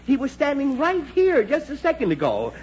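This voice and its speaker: A man's voice: very high-pitched, wildly swooping pitch, normal speed, exaggerated voice. A man playing a flustered, blustering middle-aged father in a 1940s radio comedy, stammering and exclaiming. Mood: flustered protesting. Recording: muffled, noisy 1940s radio recording.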